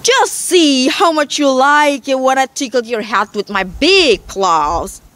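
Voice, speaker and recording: A woman's voice: sits high, lively intonation, slow pace, fairly bright tone, full character voice. A woman with an Indonesian accent voicing a proud, menacing male lion king in a children's story, boastful and emphatic. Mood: angry, threatening.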